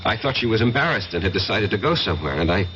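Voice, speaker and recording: Anxious professor, widely swinging voice. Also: male voice, lowish voice, sing-song, fast, bright, forceful tone. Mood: uneasy recounting. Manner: hesitant, pleading, insistent. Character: anxious, defensive male professor accused of killing. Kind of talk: 1970s radio drama acting. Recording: muffled, noisy 1970s radio recording.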